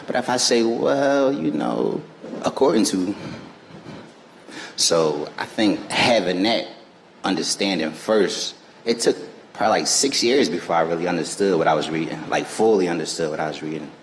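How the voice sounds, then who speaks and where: A man with a very low voice speaks earnestly on a festival stage. His words carry a long hall echo over a faint hum of the crowd space. His phrasing is informal and emphatic. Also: expressive, normal speed. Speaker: panel guest. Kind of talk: live panel discussion. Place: festival stage before an audience.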